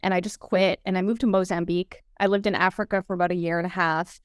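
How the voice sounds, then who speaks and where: A woman's voice: fairly low pitch, level tone, quick pace, smooth, dry recording, studio quality. A woman in her thirties from Canada, a guest telling her story in a casual interview, chatty with many fillers. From Canada (Vancouver). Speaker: guest, entrepreneur.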